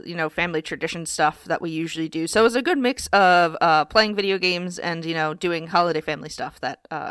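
A woman's voice: fairly low pitch, a bit bright, clean tone, no room sound, studio quality. Stuffy-voiced woman hosting a podcast. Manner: chatty, with many fillers. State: congested, stuffy. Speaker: podcast co-host. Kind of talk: casual sports podcast chat.

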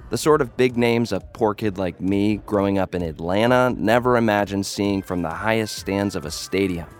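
A man acting as a young American online celebrity fighter tells his own story in a low register. He is cocky and jaded, with a wry, conversational delivery. Music plays faintly underneath this audio drama narration. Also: lightly acted. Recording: music bed behind the voice.

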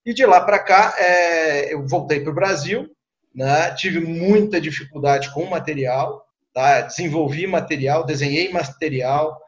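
A man's voice: fairly high pitch, a little slow, dull audio. A middle-aged Brazilian man with a Rio de Janeiro accent, recounting and explaining conversationally as a guest surgeon, repeating words and adding tag questions. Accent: Rio de Janeiro Brazilian Portuguese.

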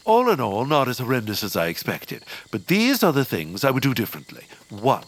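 An actor playing a pretentious theater critic who gives pompous, instructive acting notes in an audio comedy. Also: male voice, medium pitch, huge pitch swings, fairly quick, husky, heavily acted.

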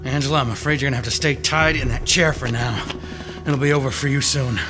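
An actor playing a calm, tough police detective who speaks in a steady, commanding way, in an old radio drama. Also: male voice, mid-range voice, quick pace, lightly acted. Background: music.

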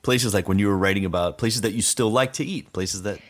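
A man's voice: fairly low pitch, a little fast. American man hosting a casual interview. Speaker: interview host.

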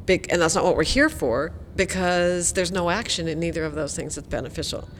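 Woman educator talking straight up and emphatic, lowish voice, faint rumble in the background. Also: expressive, fairly quick. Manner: frank, emphatic. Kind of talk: interview answer.

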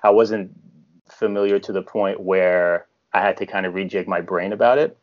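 A man from Canada whose first language is French, an actor chatting casually and haltingly in an interview. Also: low-pitched, fairly even intonation, muffled recording.

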